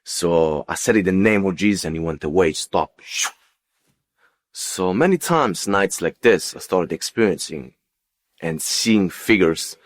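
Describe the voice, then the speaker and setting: A man who speaks as a tells his personal story as an interview guest, in a halting, unscripted way with repeated words and phrases. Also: deep voice, expressive. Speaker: interview guest giving testimony.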